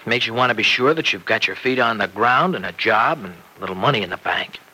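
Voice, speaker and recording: An actor playing an earnest, anxious young farmer husband in an old-time radio drama, with a halting, tender way of talking. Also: male voice, on the low side, pushed and bright, slightly rough, heavily acted. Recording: noisy vintage radio recording.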